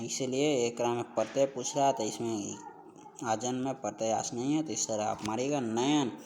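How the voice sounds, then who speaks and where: A man with a medium-pitched voice gives an exam-prep lesson. He works through practice questions in an instructive way, saying questions and answers over again so that they stick. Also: a little mellow, slight background noise. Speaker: Hindi grammar teacher for exam preparation.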